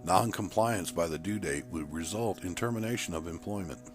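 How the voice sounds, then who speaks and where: A man reading his own story aloud as a narrator, in a steady, even tone. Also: low voice, normal speed, music in the background.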